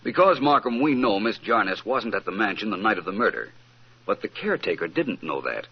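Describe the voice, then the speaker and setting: A suave, witty gentleman detective from a vintage radio mystery. His low voice swings freely and expressively, urbane and teasing. The old recording is muffled. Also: big pitch swings, fairly quick, slightly rough, exaggerated voice. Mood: assured, explanatory. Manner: urbane, teasing, explaining with assurance. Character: suave, witty, self-assured male amateur detective.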